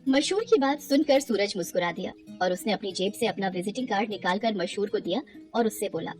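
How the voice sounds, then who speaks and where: A woman giving expressive scripted narration for a cartoon moral story. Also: medium pitch, fairly quick, soft background music.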